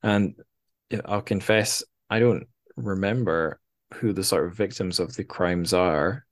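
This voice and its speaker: A man's voice: sits low, a little slow. A man hosting a podcast, discussing books casually and reflectively, feeling for his words in an informal way. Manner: hesitant, reflective, searching for words. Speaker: podcast host.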